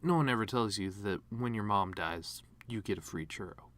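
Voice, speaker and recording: A man performing a dramatic monologue as a bitter, grieving horse giving his mother's eulogy, rambling with sardonic, self-deprecating deadpan humor. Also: on the low side, moderate pace, close to an everyday voice. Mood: exasperated, sardonic.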